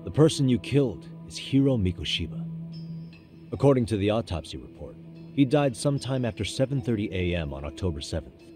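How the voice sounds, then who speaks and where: A man's voice: lowish voice, very animated, music in the background. An actor playing a composed, persistent defense lawyer in his thirties, speaking formally in a courtroom drama game. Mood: serious, insistent. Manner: measured, formal, insistent.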